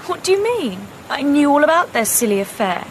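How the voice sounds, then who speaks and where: A woman's voice: high voice, sing-song, fairly quick, a little hiss or hum. An actress playing a self-assured modern young woman in a radio drama, candid and questioning. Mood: defensive. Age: young adult.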